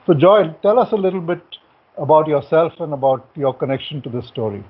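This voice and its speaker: A man's voice: a little high, animated, fairly quick. A middle-aged man, an author and journalist, talking on a video call from a home study, often restarting his sentences. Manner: talks with restarts and uhs. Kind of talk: casual talk on a video call. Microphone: computer mic on a video call. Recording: thin phone-like call audio.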